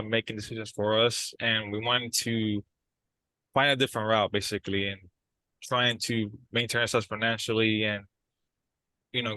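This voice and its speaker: An earnest young brother, played by a man searches for his words in halting phrases. His voice is bright and forward, recorded dry and close. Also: medium pitch, no room sound, close to an everyday voice, low-quality recording. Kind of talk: improvised dramatized therapy session.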